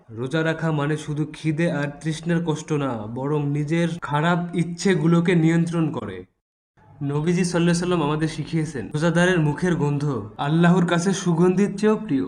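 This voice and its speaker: Guy playing pious rickshaw dad in a cartoon, lightish voice, calm n earnest, kinda preachy but gentle. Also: a little high, moderate pace, close to an everyday voice. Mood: earnest, reassuring. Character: pious, patient, hardworking rickshaw-puller father. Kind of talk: Bengali Islamic cartoon dialogue.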